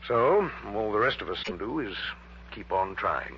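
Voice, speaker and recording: A man's voice: fairly low pitch, sing-song, normal speed, a little hiss or hum. An actor playing an earnest, troubled male research chemist in a 1960s radio drama, hesitant yet emphatic. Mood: earnest, discouraged. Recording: dull, humming early-1960s radio recording.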